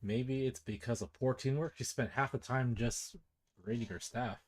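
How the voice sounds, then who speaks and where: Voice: mid-range voice, moderate pace, darkish tone. A streamer giving casual game commentary, musing and asking questions aloud.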